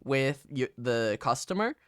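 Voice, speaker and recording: A young man from Argentina telling travel stories in casual chat, laughing often and jumping high in pitch when he quotes people. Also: measured pace, clear, resonant tone, dry recording, studio quality. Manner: animated, laughs often, raises pitch sharply to act out quotes. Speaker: streamer.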